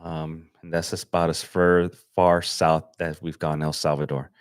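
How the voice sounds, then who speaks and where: Deep-voiced laid-back man livestreaming. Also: a little slow, smooth, dry recording, clean studio sound. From Los Angeles, USA. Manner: relaxed, slangy, thinks aloud with fillers. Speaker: photographer livestreaming. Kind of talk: casual livestream chat while editing. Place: home desk setup.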